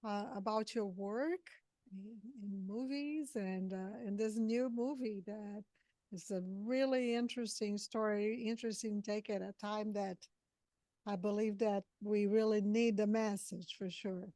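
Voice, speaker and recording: A woman from Brazil, Portuguese her first language, hosting an interview over a video call and speaking in halting phrases with frequent restarts. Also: mid-range voice, fairly even intonation, warm tone, no room sound. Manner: halting, many restarts and fillers. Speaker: podcast host. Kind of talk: casual interview chat.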